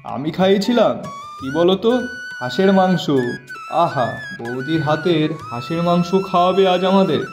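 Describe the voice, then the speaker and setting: Man acting an eager villager over clear music. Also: high voice, expressive, moderate pace. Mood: curious, delighted. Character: eager, food-loving village friend, younger man. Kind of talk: Bengali moral-story cartoon dubbing.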